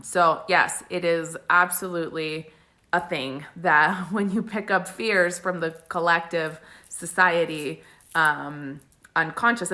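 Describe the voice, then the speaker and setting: A woman's voice: lowish voice, pushed and bright. A woman in her forties from Italy, a spiritual coach talking casually to live stream viewers with many fillers. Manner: casual, chatty, many fillers and frequent laughs.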